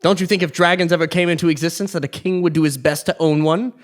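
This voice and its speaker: A man's voice: on the high side, steady tone, fast, natural voice. A man acting a pompous, sly king in a comic stage play, with a haughty theatrical delivery. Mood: haughty, boastful.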